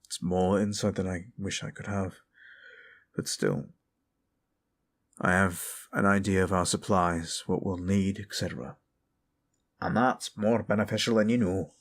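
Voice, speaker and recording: A man voicing grave fantasy soldiers planning at the frontline in a roleplay audio drama, measured and solemn, with pauses. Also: deep voice, fairly even intonation. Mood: thoughtful. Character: grave, deliberate fantasy war commanders at the frontline.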